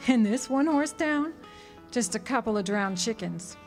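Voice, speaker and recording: A woman's voice: on the high side, animated, normal speed. An actress playing a bitter, guarded small-town woman in a radio drama, blunt and resigned. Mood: wry, resigned. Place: live amphitheater stage performance. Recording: live stage recording with music.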